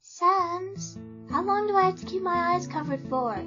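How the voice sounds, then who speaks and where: A woman voicing an excitable, innocent little skeleton child who exclaims in a fan comic dub. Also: very high-pitched, measured pace, exaggerated voice. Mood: impatient. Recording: muffled lo-fi audio over music.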